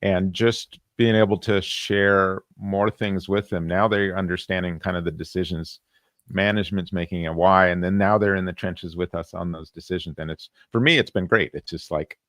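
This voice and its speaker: A man hosting a casual interview, thinking aloud in a halting, reflective way. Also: sits low, steady tone, fairly bright tone, no room sound, studio quality. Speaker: podcast host.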